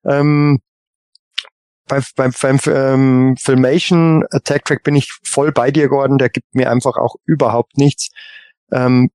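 A man chatting casually as a podcast panelist, giving his opinions in a halting way with repeated words. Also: medium pitch, level tone, a little mellow, no room sound. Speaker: podcast panelist on a toy collector show.

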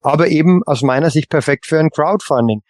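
A man on a casual podcast panel, reasoning in a halting way and often repeating his words. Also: on the high side, dry recording, clean studio sound.

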